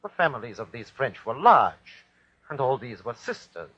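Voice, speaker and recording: An actor playing a cruel, rattled Nazi army captain, formal and clipped, in a 1940s radio horror style. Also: male voice, mid-range voice, big pitch swings, normal speed, fairly bright tone, slightly rough. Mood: grim, brooding. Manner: clipped, formal report-giving that breaks into barked orders. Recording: dull, lo-fi vintage radio recording.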